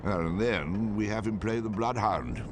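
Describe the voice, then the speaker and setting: Deep-voiced commanding wartime spymaster. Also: male voice, animated, measured pace, background noise. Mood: commanding, deliberate. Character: commanding wartime spymaster, measured and authoritative older officer. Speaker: senior intelligence director. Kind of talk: video game cutscene acting.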